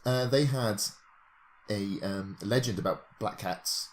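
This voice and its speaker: A man's voice: on the low side, takes it slowly, slight background noise. A British man in casual, jokey podcast banter, rambling as he talks. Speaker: podcast co-host.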